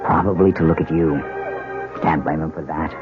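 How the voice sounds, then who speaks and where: A man's voice: extremely low pitch, steady tone, normal speed. A man playing a breezy, evasive newlywed husband in a radio drama, with a glib, jocular way of talking. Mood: casual, dismissive. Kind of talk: 1970s radio drama acting. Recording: dull old radio, music behind.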